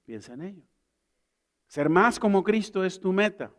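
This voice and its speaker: A man's voice: high-pitched, expressive, moderate pace. A man preaching a conversational sermon, asking the congregation rhetorical questions and acting out little scenes. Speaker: pastor. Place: church service.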